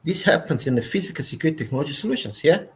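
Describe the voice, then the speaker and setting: A man's voice: a little high, animated, very fast. A man with a Croatian accent, a native Croatian speaker, speaking as a panelist in a technical discussion, emphatic and often ending points with "yeah?".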